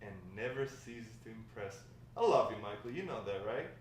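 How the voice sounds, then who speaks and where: A man's voice: lowish voice, lively intonation, normal speed, natural voice. Man playing brash grandpa in an echoey room. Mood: fond, playful. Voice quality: slangy, swaggering talk. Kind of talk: amateur screenplay table read. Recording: echoey room, distant mic, faint noise.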